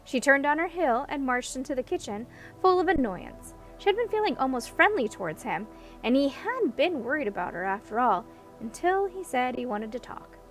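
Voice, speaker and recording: A woman's voice: sits high, big pitch swings, moderate pace, soft background music. A woman reading a scripted story as an audiobook narrator.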